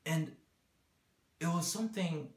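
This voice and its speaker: A man's voice: a little high, expressive, measured pace. A young man born in the United States, raised partly abroad, talking earnestly about his life and beliefs. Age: young adult. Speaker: interviewee. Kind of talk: personal account. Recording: echoey room.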